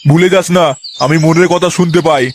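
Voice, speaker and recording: A man's voice: a little high, steady tone, a little fast, very noisy, lightly acted. A man voicing a gluttonous, bossy, foul-mouthed uncle in a crude, boastful comedy cartoon. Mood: smug, threatening. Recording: loud music bed with bird chirps.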